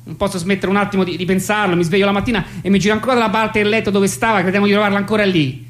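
An actress playing a brash, self-absorbed young poll interviewer who rambles and argues sarcastically in a comic radio play. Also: female voice, mid-range voice, fairly even intonation, racing, natural voice. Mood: heartbroken.

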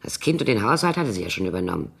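Husky, creaky old tipsy schemer. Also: female voice, extremely low pitch, sing-song, exaggerated voice. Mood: brooding, conspiratorial. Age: older. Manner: brooding, halting, theatrical delivery dropping into whispers. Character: tipsy, scheming old former nanny writing a confession. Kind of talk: radio crime drama acting.

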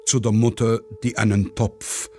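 A man's voice: on the low side, flat delivery, a little slow, mellow, a little hiss or hum. A man soberly reading stage directions in clipped phrases, as the narrator of a radio play.

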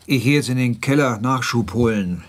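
An actor playing an eccentric older lover, gruff but tender, terse and intimate, in a German radio drama. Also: male voice, mid-range voice, normal speed, lightly acted. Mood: tender, musing.

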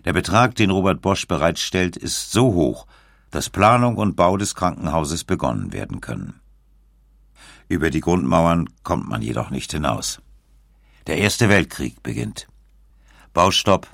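A man narrating a scripted documentary in a measured, factual way. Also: very deep voice, animated, gravelly, a bit bright, no room sound, studio quality.

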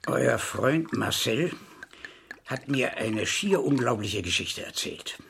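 An actor playing a stern, dry-humoured French police father of grown children in a German radio crime drama, measured and sardonic. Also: medium pitch, expressive, gravelly, a bit bright, slight background noise, full character voice. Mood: genial.

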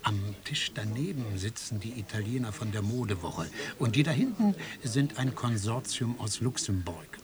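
Low gossipy café owner guy in a radio play, grumbles about his guests.

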